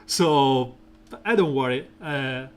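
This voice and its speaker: A man streaming a mobile game, talking through team strategy in a relaxed, rambling way with lots of fillers and a soft, smooth voice. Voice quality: soft, smooth and deep when slow. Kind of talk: casual gameplay commentary.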